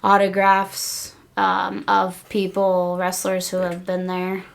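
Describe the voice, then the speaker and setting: A woman's voice: on the low side, completely flat delivery, takes it slowly, a little hiss or hum. A woman co-hosting a podcast in casual, conversational talk. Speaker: podcast co-host. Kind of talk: casual chat.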